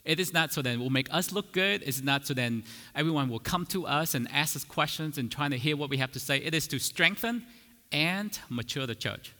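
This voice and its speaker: A man's voice: fairly high pitch, lively intonation, brisk, bright, forceful tone, long reverb tail. A man whose first language is Vietnamese, preaching a teaching sermon earnestly, restarting phrases and using fillers as he explains.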